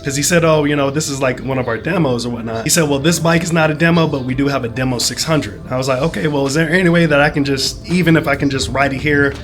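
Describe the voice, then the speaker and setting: A man, sick and a bit hoarse, telling a long rambling story in a casual vlog, full of "you know" and "whatnot". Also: mid-range voice, fast talker, hardly any pauses, a bit bright, faint music behind. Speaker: motorcycle vlogger.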